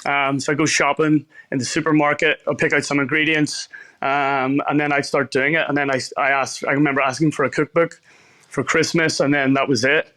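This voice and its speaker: Northern Irish man, fairly high even voice. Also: fairly quick. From Belfast, Northern Ireland. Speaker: chef, podcast guest. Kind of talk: casual interview talk.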